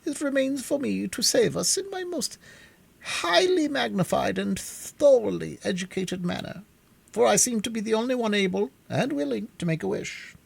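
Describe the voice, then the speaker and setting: A reader voicing a pompous, learned male insect in a storybook, lecturing in a drawn-out way. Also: mid-range voice, expressive, vocal fry, breathy edge, no room sound, voice pushed up, full character voice.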